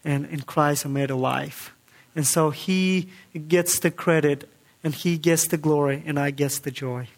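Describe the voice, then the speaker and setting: A man's voice: a little high, steady tone. Earnest man. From Kazakhstan. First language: Kazakh. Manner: earnest, halting, with many fillers and restarts. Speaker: guest speaker giving testimony. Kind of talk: church testimony.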